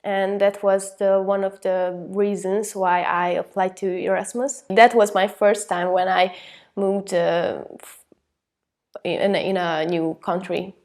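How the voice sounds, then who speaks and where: A young woman who grew up speaking Hungarian gives a thoughtful interview answer in English. She uses soft "um"s and "uh"s between ideas. Her voice sits at a middle pitch. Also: level tone, slow pace. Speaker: exchange student.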